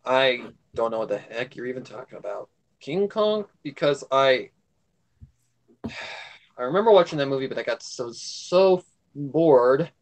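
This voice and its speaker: A man's voice: mid-range voice, darkish tone. A man in casual conversation with a blunt, grumbling way of talking. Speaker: livestream co-host. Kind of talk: casual chat.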